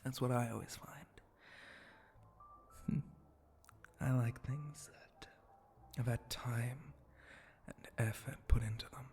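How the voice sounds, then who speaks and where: Guy doing a flirty spider monster bartender, asmr style, slow n breathy, dark mellow voice, kinda gravelly, almost whispering, teasing. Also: medium pitch, fairly even intonation, a little hiss or hum. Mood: languid, musing. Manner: slow, languid, suggestive drawl with pauses and hums. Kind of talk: intimate ASMR roleplay.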